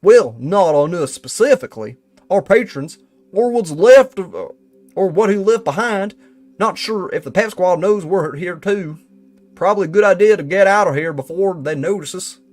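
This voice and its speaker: A man's voice: high-pitched, animated, normal speed, darkish tone, faint music behind, pitched above the performer's own voice. A man voicing a folksy cowboy employee with a Southern US accent and a theatrical drawl, reading out an investigation report. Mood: folksy, watchful. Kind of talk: character reading of a written report.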